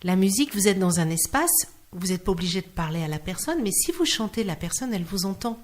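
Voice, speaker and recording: A woman with a medium-pitched voice talks as an interview guest. She tells her stories expressively. When she recounts a conversation, she acts out the dialogue. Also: lively intonation, fast talker. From Clermont-Ferrand, France. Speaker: interview guest, singer working in hospitals. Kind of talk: animated anecdotal interview talk.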